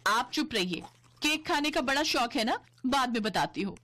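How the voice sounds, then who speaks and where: A woman's voice: sits high, lively intonation, moderate pace, pushed and bright, slight background noise, pitched above the performer's own voice. A woman voicing a jealous, haughty and bossy elder sister-in-law in a cartoon story. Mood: annoyed, complaining. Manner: taunting, bossy, cackling.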